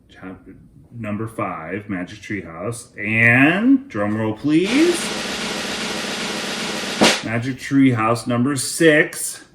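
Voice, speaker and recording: Male children's book narrator, lowish voice, deliberate and very animated, roomy echo. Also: background noise. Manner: deliberate, expressive.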